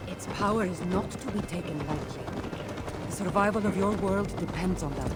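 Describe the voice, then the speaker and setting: A woman's voice: mid-range voice, very noisy, close to an everyday voice. An actress playing a seasoned, wry wandering warrior woman with a Greek accent in a video game cutscene. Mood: earnest. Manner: wry, talkative, teasing. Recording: game music behind the voice.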